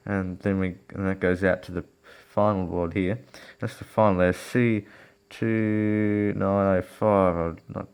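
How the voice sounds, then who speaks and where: A man's voice: low-pitched, creaky. A man giving a casual, unscripted electronics repair walkthrough, hesitant and rambling as he thinks aloud. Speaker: electronics repair hobbyist presenter. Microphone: phone filming the workbench.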